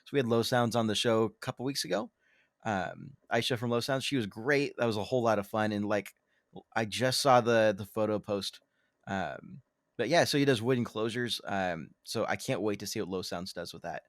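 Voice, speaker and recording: A man's voice: lowish voice, moderate pace, no echo, studio quality. A man co-hosting a podcast in casual chat, rambling and self-deprecating, with many ums and restarts. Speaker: podcast co-host.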